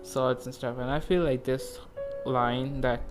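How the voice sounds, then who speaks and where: Guy doing a game reaction, rambly n excitable, says like a lot, faint music behind him. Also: mid-range voice, a little slow. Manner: excitable, swearing, rambling with frequent "like". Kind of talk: casual live game reaction commentary.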